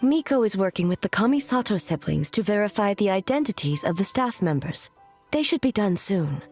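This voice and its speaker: Solemn husky-voiced female ruler. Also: mid-range voice, big pitch swings, a little mellow. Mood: composed, formal. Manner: formal, measured, grave. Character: solemn, dignified female ruler, formal and composed. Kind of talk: video game character acting. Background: game music.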